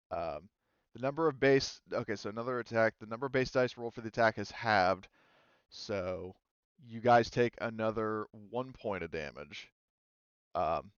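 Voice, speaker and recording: A man's voice: fairly low pitch, clean tone, no room sound, lo-fi sound. A man running a tabletop roleplaying game as game master, explaining rules casually with lots of fillers.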